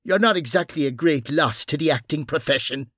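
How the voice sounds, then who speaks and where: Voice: medium pitch, fairly quick, a bit bright, no room sound, clean studio sound, voice pushed up, lightly acted. A performer voicing a brash, conniving and sardonic policeman in an audiobook, with a theatrical, commanding manner. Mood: urgent, bossy.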